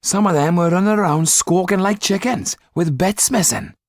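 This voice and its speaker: A man voicing a rough townsman gossiping with grim relish in an audiobook. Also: high-pitched, normal speed, dry recording, studio quality, pitched above the performer's own voice.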